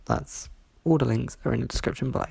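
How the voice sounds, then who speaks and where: Stuffy, gravelly-voiced video reviewer. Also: medium pitch, a little mellow, dry recording. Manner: measured, reads from a script with small slips. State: stuffy from hay fever. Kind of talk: scripted review narration.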